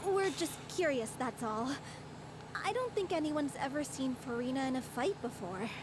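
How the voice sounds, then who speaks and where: A woman's voice: sits high, lively intonation, normal speed. A woman playing a spirited, teasing young theater troupe member in an anime fantasy game. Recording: noisy game sound behind voice.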